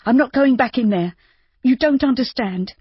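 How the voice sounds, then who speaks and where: A woman's voice: fairly high pitch, moderate pace, breathy edge, no room sound, pitched above the performer's own voice. A woman reading an audiobook, voicing a frightened, headstrong young woman who speaks urgently and tensely. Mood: panicked. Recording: dull muffled audiobook recording.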